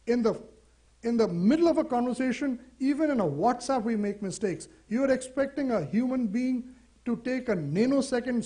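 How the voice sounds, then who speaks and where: A man's voice: extremely high pitch, darkish tone. A man with an Indian English accent giving a conversational conference talk, posing rhetorical questions to the audience. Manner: conversational, poses rhetorical questions, says "you know". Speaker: seminar speaker.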